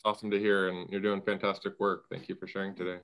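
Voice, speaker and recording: A man's voice: sits low, little pitch movement, fast, vocal fry, a bit bright. A man in the audience asking a question, curious and courteous. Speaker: audience member.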